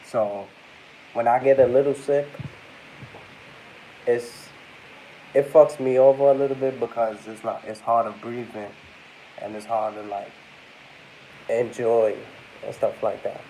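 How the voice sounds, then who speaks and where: Young man, stuffy and a bit under the weather, mellow tone, loose rambling vlog chat, faint hiss. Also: medium pitch, level tone, unhurried, pauses often, poor audio. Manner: rambling, addresses viewers as y'all. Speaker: vlogger. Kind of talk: casual talk to camera.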